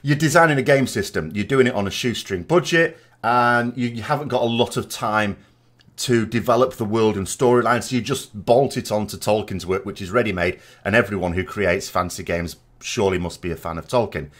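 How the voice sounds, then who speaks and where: A man's voice: on the low side, brisk, a bit bright. Man rambling through casual podcast-style commentary. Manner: rambling, digressive, conversational. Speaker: gaming channel host.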